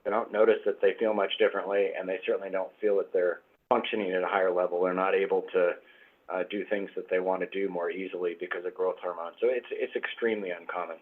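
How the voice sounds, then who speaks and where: Guest doctor, a man with a husky, cold-roughened voice, patient and explanatory, over a tinny line. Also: deep voice, little pitch movement. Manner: measured, explanatory, frequent fillers. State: hoarse from a cold. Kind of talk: expert explanation in interview.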